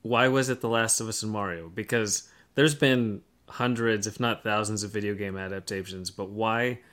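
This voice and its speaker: A man co-hosting a podcast, talking casually and analytically, pausing as he works through his thoughts. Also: on the low side, steady tone, a bit bright. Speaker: podcast co-host. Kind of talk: casual discussion of film trends.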